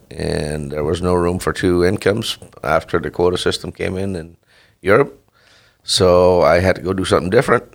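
A middle-aged man from the Netherlands with a Dutch accent, a dairy farmer answering interview questions in a halting way with many fillers and restarts. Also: low-pitched, vocal fry.

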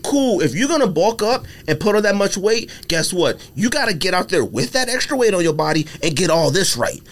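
A man's voice: high-pitched, very animated, brisk. A man in a casual sports debate as podcast host, emphatic and opinionated, using slang and repeating himself to drive points home.

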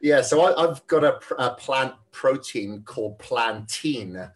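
A man's voice: mid-range voice, a little slow, distant mic. A Welsh man who is a fitness trainer, answering interview questions in a friendly, chatty way and laughing easily. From Wales.

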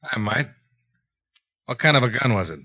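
An actor playing a cool, probing insurance investigator in a 1950s radio detective drama, clipped and wry. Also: male voice, medium pitch, animated, normal speed, bright, forceful tone. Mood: matter-of-fact.

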